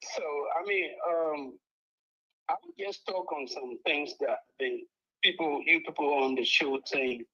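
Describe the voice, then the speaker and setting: A man's voice: on the high side, measured pace, bright, forceful tone. A middle-aged Liberian man calling in to a talk show, rambling and indignant about his country. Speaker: caller. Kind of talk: call-in political commentary. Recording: muffled phone line.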